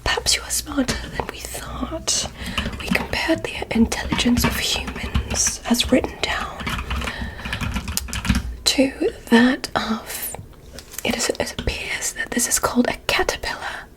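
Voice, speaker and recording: A woman plays a curious alien doctor who speaks in a soft whisper. Her voice sits high whenever it surfaces from the hush. She is courteous and a little formal, explaining each step to her human patient in ASMR roleplay fashion. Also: measured pace. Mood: curious, gently teasing.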